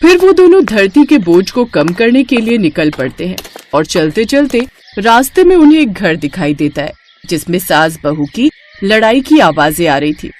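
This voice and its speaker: A woman's voice: medium pitch, very animated, normal speed, darkish tone, slight background noise. A woman reading scripted narration for a moral story as its storyteller.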